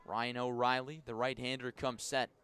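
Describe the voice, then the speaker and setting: A man doing live radio baseball play-by-play as the announcer, measured between pitches and lifting into excited calls on the action. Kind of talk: live radio sports commentary.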